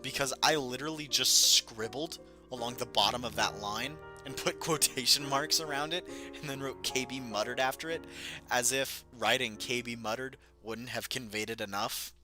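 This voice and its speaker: A man's voice: medium pitch, moderate pace, pushed and bright. A man casually reading aloud a story he wrote as a child, with amused, self-mocking asides and music playing behind. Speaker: reader of his own childhood book.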